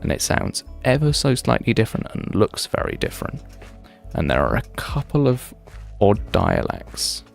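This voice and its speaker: Man, deep, gravelly fry, swooping lively intonation, rambling streamer chat, faint music behind. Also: moderate pace. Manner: rambling, thinks aloud, chatty tangents. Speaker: game streamer. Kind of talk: casual livestream chat while playing.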